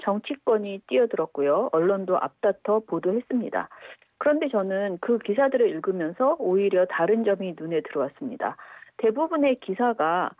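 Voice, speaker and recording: A woman media critic joining by phone, explaining her view calmly and point by point. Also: medium pitch, normal speed, dry recording. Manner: calm, explanatory, argues point by point. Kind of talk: phone interview commentary. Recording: dry tinny phone line.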